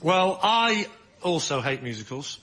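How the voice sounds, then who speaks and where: A man's voice: a little high, very animated, a little slow. A man bantering as a comedy panel guest, with a mock-indignant manner. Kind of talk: comic anecdote and banter. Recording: noisy comedy show recording.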